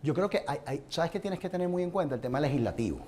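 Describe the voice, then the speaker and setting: A man from Venezuela gives a live seminar, talking straight to his audience in an earnest, advising way. His voice sits a little high. Steady background noise runs under the recording. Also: fast. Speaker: conference presenter. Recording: noisy room recording.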